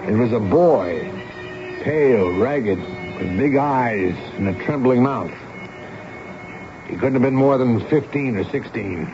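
A man's voice: medium pitch, lively intonation, over music. A man playing an authoritative, world-weary army major who commands a fort, in an old-time radio drama. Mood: weary, reflective. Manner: brisk, incredulous questioning of subordinates. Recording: muffled old radio recording, faint noise.

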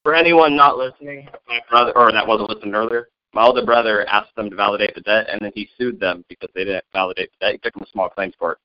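A man co-hosting a podcast in casual chat, an animated storyteller who laughs often. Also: lowish voice, fast, few pauses, dry recording. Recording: dull lo-fi sound, dry.

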